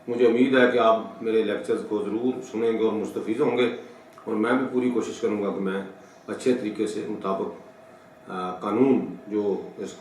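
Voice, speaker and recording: Middle-aged man, lowish pitch, formal halting lecturer, distant mic, heavy room echo. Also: a little hiss or hum. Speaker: law lecturer. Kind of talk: lecture for an online channel. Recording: very echoey room, distant microphone.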